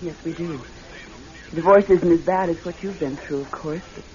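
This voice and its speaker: A woman's voice: low-pitched, normal speed, darkish tone, natural voice. An actress playing a reserved, polite divorced career woman who also narrates her own story in a classic radio drama style. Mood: gentle, subdued. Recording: dull, lo-fi old radio recording.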